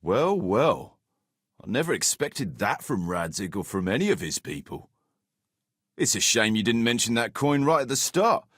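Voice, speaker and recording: A cynical medieval sellsword boss for a game, patronizing, very animated intonation, dry close sound. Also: male voice, medium pitch, normal speed, slightly rough, no echo. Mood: wry. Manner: sardonic, pragmatic, patronizing. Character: wary, self-serving male mercenary captain in a medieval world. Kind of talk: video game character dialogue.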